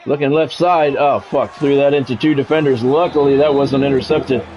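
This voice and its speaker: A man's voice: medium pitch, steady tone, normal speed, darkish tone, muffled recording, a little hiss or hum. A man doing sports play-by-play of a video game on a stream, mixed with casual chat with viewers.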